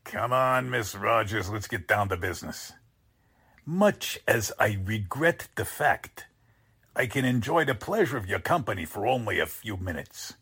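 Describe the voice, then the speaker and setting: Smooth-mannered but threatening male swindler, acted by a man, low gravelly voice, old-time radio villain. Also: expressive, measured pace, sharp tone, heavily acted. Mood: cold threat. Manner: mock-polite, threatening. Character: menacing con artist man posing as a foreign Count. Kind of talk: radio drama acting in 1940s manner.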